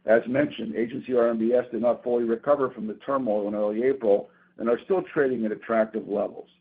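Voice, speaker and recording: A man reading prepared company results on an earnings call in a formal, measured, businesslike way. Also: medium pitch, steady tone, breathy edge. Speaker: company executive presenting quarterly results. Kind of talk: prepared remarks read from a script on an earnings call. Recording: thin, tinny phone conference line.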